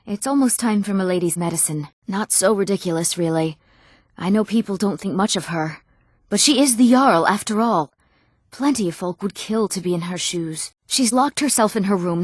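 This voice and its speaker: Casting: young eager townswoman, fantasy RPG; earnest, expressive delivery, mid-range female voice, dry sound. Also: medium pitch, slightly rough, no echo. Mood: earnest, fretful. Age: young adult. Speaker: video game voice actress. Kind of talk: scripted fantasy game dialogue.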